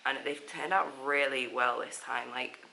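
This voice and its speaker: A young woman chatting casually to camera as a travel vlogger, croaky from just waking up, rambling and giggly. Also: very deep voice, steady tone, moderate pace, bright, forceful tone, roomy sound, slight background noise, rough recording.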